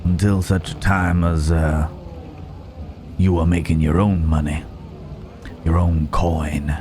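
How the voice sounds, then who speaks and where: A man playing a gallant yet menacing Wild West cowboy in an intimate ASMR roleplay, unhurried, with pauses and folksy old-fashioned phrasing. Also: low-pitched, little pitch movement, background noise. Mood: teasing, caring. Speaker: voice actor in an audio roleplay.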